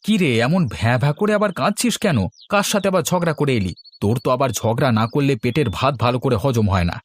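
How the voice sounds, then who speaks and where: A man's voice: medium pitch, fast talker, natural voice. A man voicing a doting, grumbling elderly village relative in a cartoon, with a homely, chiding manner. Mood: scolding. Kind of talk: cartoon dialogue. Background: faint music.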